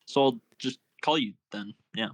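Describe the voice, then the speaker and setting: A man's voice: medium pitch, normal speed, no room sound, close to an everyday voice. A man playing an awkward, level-headed young partygoer in a film table read. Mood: shy.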